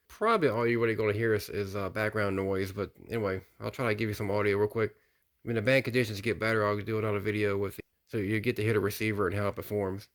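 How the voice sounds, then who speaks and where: Low-voiced, folksy American man. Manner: plainspoken, folksy, repeats himself. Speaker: radio collector presenting a hobby video. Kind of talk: casual product showcase narration.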